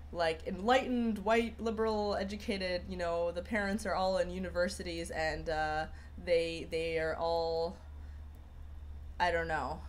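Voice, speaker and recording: A woman's voice: on the low side, slight background noise. A young woman hosting a casual livestream, rambling through viewer comments with lots of fillers and restarts. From Persian heritage. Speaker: livestream host.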